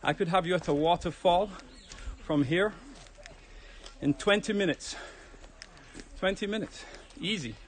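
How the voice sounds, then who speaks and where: Brief: Jamaican man, slightly high voice, relaxed chatty fitness livestreamer, outdoors on a phone, a little winded. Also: a little slow, slight background noise. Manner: chatty, relaxed, talks to viewers while walking uphill. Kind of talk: casual livestream chat while walking. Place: outdoors on a hill road. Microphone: phone held while walking.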